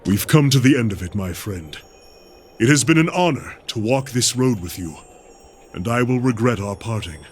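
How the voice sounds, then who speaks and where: An actor playing a loyal fantasy companion in a video game, speaking in a formal, measured way. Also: male voice, mid-range voice, animated, darkish tone, soft background music, natural voice. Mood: solemn, fond. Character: formal, loyal male companion bidding farewell. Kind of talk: video game character acting.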